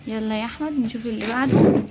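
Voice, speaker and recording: A woman's voice: fairly high pitch, very fast. A woman with an Egyptian accent, chatting casually to viewers as she co-hosts a family vlog and prompts a child along, on a noisy home-video recording. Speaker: mother co-hosting a family unboxing vlog.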